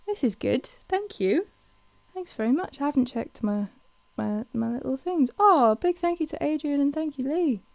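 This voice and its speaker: A woman hosting her own livestream, chatting with viewers in a soft, hesitant way with lots of fillers. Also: high voice, lively intonation, moderate pace, no room sound. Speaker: livestream host and singer. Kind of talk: casual chat with a live audience. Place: home livestream in the hills.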